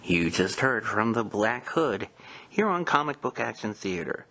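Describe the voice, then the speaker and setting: A man's voice: lowish voice, sing-song, measured pace, pushed and bright. A man hosting a show in a casual, chatty style, introducing programmes. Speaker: podcast host.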